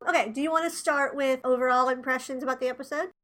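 A woman's voice: high-pitched, fairly even intonation, fast, a bit bright. A woman in her twenties, a podcast co-host, talking in casual chat, sounding nasal and congested from a cold. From Tennessee, USA. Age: 20s.

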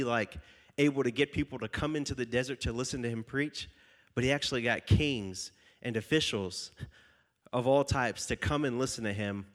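Young man, youth pastor, stuffy nose, slightly nasal, casual joking sermon, reads scripture. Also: mid-range voice.

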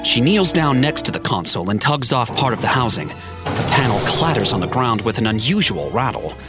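A man's voice: medium pitch, big pitch swings, fairly quick. A man giving a scripted, storytelling narration for a science fiction audio drama. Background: music.

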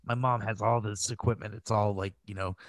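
A man's voice: fairly low pitch, steady tone, fairly quick, no room sound. A man co-hosts a casual podcast in a close, dry recording. He talks in loose, bantering chat and swears freely. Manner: profane, bantering. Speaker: podcast co-host.

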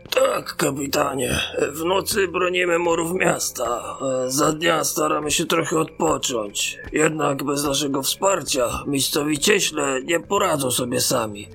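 A man's voice: on the high side, a little slow, creaky, few pauses, a bit bright, soft background music. An actor playing a battle-weary male ensign in a fantasy audio drama, reporting in a grave, respectful military manner. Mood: dutiful, grim.

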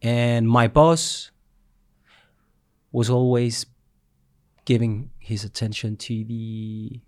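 A man's voice: medium pitch, little pitch movement, very slow, no room sound, clean studio sound. A man in a casual interview, asking questions and sharing his own examples. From Cyprus.

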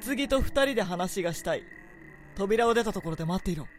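Woman playing a haughty, low-pitched, short-tempered young prince. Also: expressive, brisk, lightly acted. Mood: imperious. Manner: brusque, imperious commands. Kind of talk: radio drama acting. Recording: studio drama with background music.

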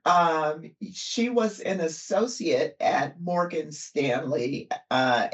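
A woman hosting a podcast interview, unhurried and chatty, with many fillers and frequent laughs. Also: sits low, muffled recording. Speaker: podcast host. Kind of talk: interview conversation.

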